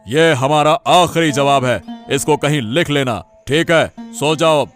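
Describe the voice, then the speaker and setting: A man voicing a principled, authoritative king in a cartoon, speaking in a measured, deliberate and lordly way. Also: a little high, soft background music, close to an everyday voice. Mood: stern, irritated. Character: principled, authoritative king, firm with his queen. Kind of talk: Hindi cartoon dialogue acting.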